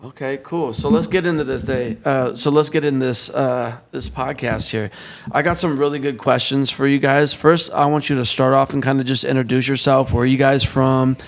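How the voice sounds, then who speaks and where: A man's voice: medium pitch, fairly even intonation, moderate pace. Laid-back male podcast host. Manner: laid-back, loose, full of fillers. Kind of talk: casual podcast interview.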